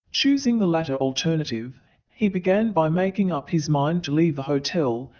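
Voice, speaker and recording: A man narrating a scripted story as in a staged radio play, with dramatic, deliberate phrasing. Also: a little high, a little mellow, dull audio. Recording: crowd sound behind the voice.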